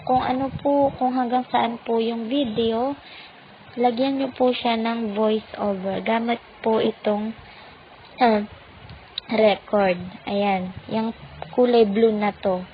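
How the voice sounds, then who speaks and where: Lady doing a slow step by step app tutorial, flat even voice, polite and patient. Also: a little high, lo-fi sound, a little hiss or hum. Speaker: tutorial channel host.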